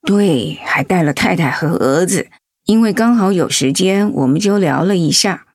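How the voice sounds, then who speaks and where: A woman's voice: on the low side, expressive, moderate pace, darkish tone, no room sound, studio quality. A woman playing a caring, frank older-sisterly friend in a radio drama, speaking sincerely and earnestly. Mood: calm, recounting. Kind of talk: Mandarin radio drama acting.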